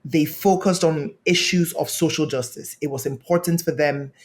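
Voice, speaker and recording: A young man from Ghana, a podcast guest, telling his life story in an earnest, measured way. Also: fairly high pitch, fairly even intonation.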